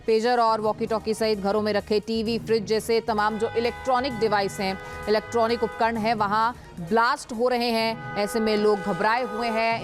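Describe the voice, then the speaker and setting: High-voiced woman anchor, music behind. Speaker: news anchor. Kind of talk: live news presenting. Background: news music bed.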